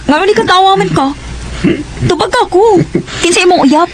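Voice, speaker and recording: High-pitched Cebuano schoolgirl. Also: normal speed, a little hiss or hum. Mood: curious, teasing. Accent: Cebuano Filipino. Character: shy, playful teenage girl student being courted. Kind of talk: Cebuano radio drama acting.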